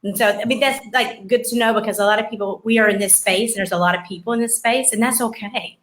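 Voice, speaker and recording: A woman's voice: on the high side, fast talker, fairly bright tone. A woman hosting a casual live show, chatty and rambling, often laughing as she talks. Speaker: host.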